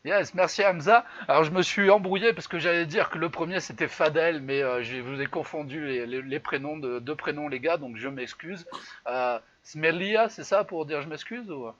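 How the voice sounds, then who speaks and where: A man's voice: fairly high pitch, normal speed, a bit bright, muffled recording. A man from France hosting a live online improv show, talking loosely with many fillers and restarts. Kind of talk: casual live-stream hosting. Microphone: computer mic on a live stream.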